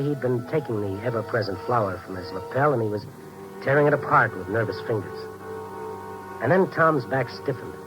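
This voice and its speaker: An actor playing an earnest, inquisitive hardboiled narrator-hero, punchy and wry, in a 1950s radio noir drama. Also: male voice, on the low side, natural voice, rough recording. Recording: vintage 1950s radio with music.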